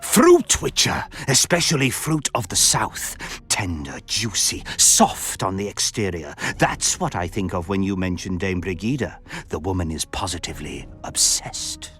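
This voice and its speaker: An actor playing a refined, verbose count in a video game, speaking in florid, theatrical, pompous phrases with sardonic and lecherous relish. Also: male voice, low-pitched, sing-song, measured pace, hardly any pauses, a bit bright, husky, heavily acted. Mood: lecherous, amused. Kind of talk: video game cutscene voice acting. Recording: music behind the voice.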